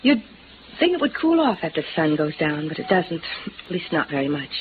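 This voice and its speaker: An American actress playing a cool, guarded, evasive widow in a melodramatic 1950s radio noir drama. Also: female voice, low voice, sing-song, fairly quick. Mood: languid, wary. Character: cool, guarded, alluring widow hiding a secret. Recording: muffled, hissy vintage radio recording.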